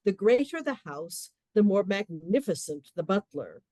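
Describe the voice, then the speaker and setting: A woman narrating a comic period radio play with measured, wry storytelling. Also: mid-range voice, big pitch swings, warm tone, dry recording.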